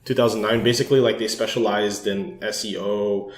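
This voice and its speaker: A man's voice: fairly low pitch, steady tone, a little fast. A man, in casual podcast chat, with loose, filler-filled phrasing. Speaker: podcast participant. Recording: roomy, echoing sound.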